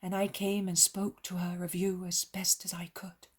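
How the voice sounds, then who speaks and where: A woman's voice: on the low side, flat delivery, normal speed, slightly rough. A woman voicing the apostle Andrew, a humble fisherman disciple, telling his story earnestly and reverently. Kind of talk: devotional reading.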